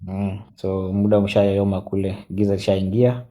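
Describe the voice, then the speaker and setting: A man in his forties, chatting on a live stream as a guest, halting with many fillers and switching into Swahili. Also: low voice, completely flat delivery, warm tone. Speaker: guest mentor. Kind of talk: casual live-stream chat.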